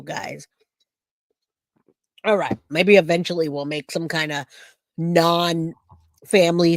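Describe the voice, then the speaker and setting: A man hosting a true crime livestream, hoarse and raspy from a cold, chatting casually and greeting viewers by name. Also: on the high side, no room sound, clean studio sound.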